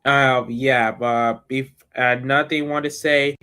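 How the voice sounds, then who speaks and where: Young male streamer, mid pitch, smooth tone, loose rambling delivery. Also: steady tone, takes it slowly, bright, forceful tone. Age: young adult. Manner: rambling, restarts sentences often. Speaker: livestreamer. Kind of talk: casual livestream chat.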